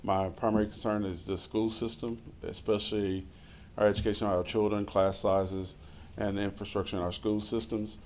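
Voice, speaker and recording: A man's voice: fairly low pitch, level tone, moderate pace, darkish tone, a little hiss or hum. A man giving public comment at a city meeting into a podium microphone, hesitant and repeating words. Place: city council chamber.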